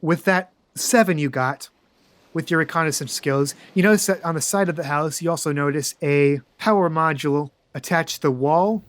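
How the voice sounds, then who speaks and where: A man running a tabletop game as game master, narrating scenes in a dry, deadpan way. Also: on the high side, normal speed.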